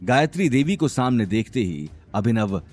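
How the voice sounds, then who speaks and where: उतार-चढ़ाव भरी आवाज़ वाला पुरुष कथावाचक. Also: male voice, medium pitch, lively intonation, moderate pace, a little mellow, soft background music. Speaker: narrator. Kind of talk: dramatic scripted story narration.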